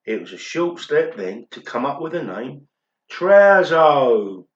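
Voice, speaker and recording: A man's voice: a little high, sing-song, slow. A daft pop star played by a man tells his story earnestly in a radio play interview. The sound is roomy and a little muffled, like a home recording, with a chatty, self-important delivery. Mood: chatty, pleased. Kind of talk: amateur radio play acting.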